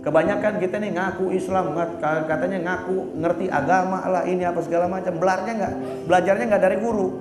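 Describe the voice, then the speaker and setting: A high-voiced man gives a blunt, teasing sermon. He talks casually and keeps stopping to search for his words. The room echoes, and music plays clearly in the background. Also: fairly even intonation, fast talker. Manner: casual and blunt, teasing, fillers like "apa namanya". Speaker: preacher. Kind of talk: religious sermon.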